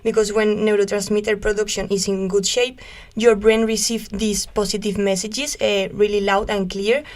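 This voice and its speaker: A woman's voice: medium pitch, little pitch movement, normal speed. Enthusiastic young Spanish volunteer woman. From Spain. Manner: enthusiastic, explanatory, laughs easily. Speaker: volunteer radio co-host. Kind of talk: casual radio chat explaining nutrition.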